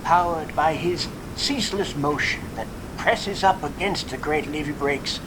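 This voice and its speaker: A man's voice: unhurried, natural voice. A man playing a proud, lofty storytelling traveler. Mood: solemn, romantic. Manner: florid, lofty, old-fashioned phrasing. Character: proud, wistful male traveler praising his home city. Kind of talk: audio drama acting. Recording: noisy, constant low rumble behind.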